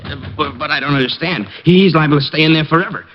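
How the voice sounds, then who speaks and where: A man's voice: fairly high pitch, fast talker, natural voice. An actor playing a fretful, bewildered, stammering man in a vintage radio crime drama. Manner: hesitant, stammering. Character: fretful bewildered male accomplice. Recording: dull old radio recording, faint noise.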